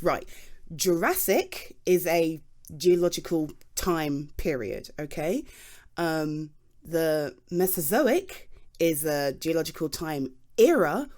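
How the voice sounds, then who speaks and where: A woman's voice: lowish voice, darkish tone. A British woman playing a flustered, chatty geology nerd in an ASMR roleplay, explaining things in a rambling, hesitant, eager and informal way.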